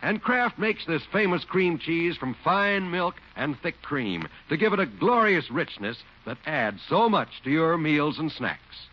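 A man's voice: a little high, big pitch swings, unhurried, few pauses. A man announcing in a polished, emphatic 1940s radio advertising and narration style. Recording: dull vintage radio recording.

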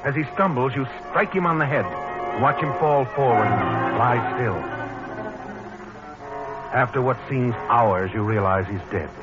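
An actor playing an ominous, knowing narrator who addresses the guilty hero in a 1940s radio thriller. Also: male voice, mid-range voice, a little slow, long pauses, natural voice. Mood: tense, grave. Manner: knowing, dramatic, addresses the character directly. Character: ominous male narrator needling the guilty protagonist. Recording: muffled old radio recording with music.

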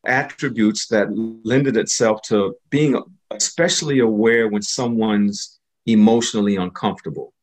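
A man's voice: fairly low pitch, moderate pace, low-quality recording. A man hosting an interview, affirming his guest and asking strings of questions. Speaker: interview host. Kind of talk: conversational interview.

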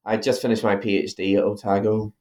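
A man's voice: fairly low pitch, flat delivery, quick pace, a little mellow. A man with an Irish accent, an author explaining his ideas in an interview, thoughtful and full of fillers and restarts. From Ireland. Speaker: author guest. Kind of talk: academic interview.

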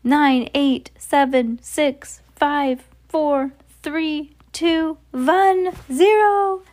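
A woman's voice: high-pitched, fairly even intonation, very slow, darkish tone, voice pushed up. A woman voicing a cruel, theatrical witch leader who draws out her announcements in storybook reading. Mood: commanding, excited.

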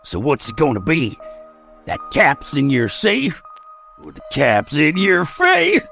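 An actor playing a menacing raider thug in 1940s radio comedy style, gravelly and taunting, drawing out his threats. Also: on the high side, wildly swooping pitch, takes it slowly, bright, forceful tone, faint music behind, heavily acted. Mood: mocking menace. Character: menacing raider thug demanding money at the door.

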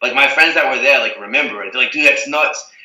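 A man's voice: medium pitch, fast talker, pushed and bright, echoey room, recorded from a distance, low-quality recording. A young man from the Azores, a reality TV guest, chatting casually and rambling with lots of "like" and "you know what I mean". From Portugal, the Azores. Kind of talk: casual interview chat.

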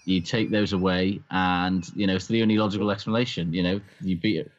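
Low-pitched man, podcast chat. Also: little pitch movement, a little fast, highs cut off. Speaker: podcast co-host. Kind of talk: casual rugby chat.

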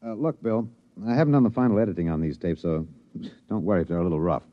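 Casting: man playing driven radio editor-narrator, low voice, serious and candid, close dry sound. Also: lively intonation, quick pace, no room sound, natural voice. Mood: businesslike. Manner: off-the-cuff asides, then grave dramatic delivery. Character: driven, candid newsman recording a solemn documentary narration. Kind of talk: 1950s radio drama narration. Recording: dry, dull old radio recording.

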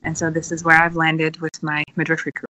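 A woman in her forties from the Netherlands, a podcast guest speaking earnestly and filling pauses with many ums. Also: low-pitched, completely flat delivery, pushed and bright, slight background noise, low-quality recording. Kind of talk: podcast interview.

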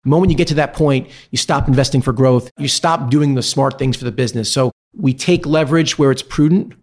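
A man answers questions as an executive guest in a business interview. His voice sits at a middle pitch. He explains things in an articulate, measured and diplomatic way. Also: a little mellow.

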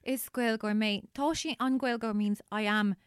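Irish woman, comedian guest, wry, smooth clear voice, dry sound. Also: on the high side, fairly even intonation, normal speed, no room sound. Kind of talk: casual comedy chat.

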